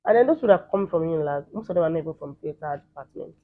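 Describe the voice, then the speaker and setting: A Nigerian man in the entertainment field giving quick, emphatic opinions in a casual interview. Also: a little high, lively intonation. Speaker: guest, entertainer. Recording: thin phone-like sound.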